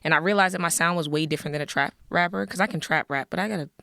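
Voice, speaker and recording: A woman's voice: deep voice, rapid-fire, vocal fry, fairly bright tone, dry recording, studio quality. A young woman from Maryland, a musician, chatting in a laid-back way as an interview guest. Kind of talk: casual interview chat.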